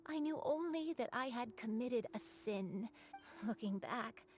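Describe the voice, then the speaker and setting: A woman voicing a remorseful old grandmother, a crane spirit in human form, speaking gently and earnestly in a video game. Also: fairly high pitch, expressive, unhurried. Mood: regretful.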